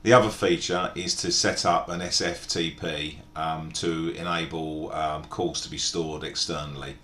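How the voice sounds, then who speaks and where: Deep-voiced man giving an echoey software tutorial. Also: steady tone, unhurried. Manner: methodical, explanatory. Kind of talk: informal step-by-step screen tutorial narration. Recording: echoey room, background hiss and rumble.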